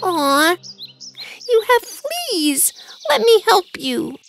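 A woman doing a squeaky timid little mouse. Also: very animated, extremely slow, vocal fry, faint music behind, full character voice. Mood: concerned. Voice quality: squeaky high voice. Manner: timid, pleading. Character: small timid male mouse, polite and brave. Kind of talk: cartoon voice acting.

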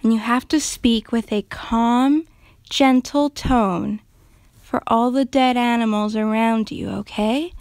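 Soft shy cartoon gal, done by a woman, breathy almost whispering, calm n soothing, slow, very dry close sound. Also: on the high side, no room sound. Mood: gentle, hushed. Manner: calm, gentle, hesitant, comforting. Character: gentle, timid, breathy woman who soothes animals.

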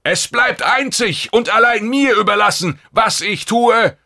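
A man voicing a furious, defiant shapeshifter in an audiobook, shouting forcefully as he guards his territory. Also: high voice, unhurried, gravelly, pitched above the performer's own voice, heavily acted.

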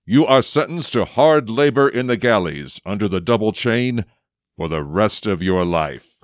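An actor playing a severe judge in a radio drama, with a solemn, formal, authoritative delivery. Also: male voice, on the low side, lively intonation, unhurried, no room sound, clean studio sound. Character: severe male judge passing sentence in court.

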